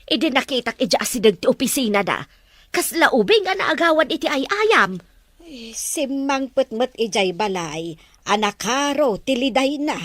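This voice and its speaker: A woman plays a middle-aged Filipino mother in a family drama, talkative and full of concern. Her voice sits high and the sound is dry. She chides and counsels in an everyday, natural way. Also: sing-song, normal speed, a bit bright, no echo, full character voice. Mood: gently probing.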